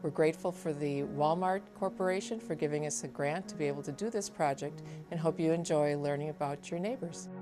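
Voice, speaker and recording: A woman's voice: deep voice, a little fast, few pauses. A woman presenting a polished, scripted introduction in a welcoming way. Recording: music playing behind.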